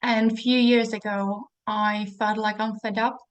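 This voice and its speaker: A woman's voice: a little high, flat delivery, a little slow, dry recording. Czech-accented woman, dry close sound. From Czech Republic. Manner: chatty with frequent fillers and restarts, laughing now and then. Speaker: host. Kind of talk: casual interview chat.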